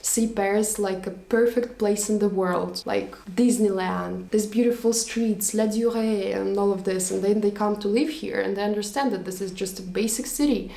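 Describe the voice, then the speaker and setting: Young woman vlogger, warm, chatty, searches for words, roomy sound. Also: medium pitch, fairly even intonation, moderate pace, creaky, few pauses. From Latvia. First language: Russian. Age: young adult. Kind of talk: storytime vlog.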